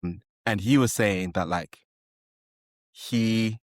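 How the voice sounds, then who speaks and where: A man from Zimbabwe co-hosting a podcast, earnest and halting, often repeating his words. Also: on the low side, dry recording, studio quality. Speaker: podcast co-host. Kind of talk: podcast interview, casual chat.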